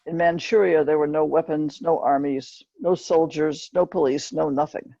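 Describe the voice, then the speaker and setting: A woman reads a narrative aloud in plain, measured storytelling phrases, like an author at a book reading. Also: low voice, steady tone, creaky, warm tone. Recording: dull, roomy lo-fi recording.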